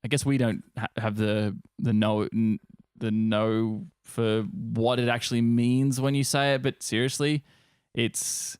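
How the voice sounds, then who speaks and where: A man's voice: medium pitch, little pitch movement, measured pace, a little mellow, clear, resonant tone, no room sound, clean studio sound. A young Australian man co-hosting a gaming podcast, rambling and swearing casually in a laddish way. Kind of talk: casual banter.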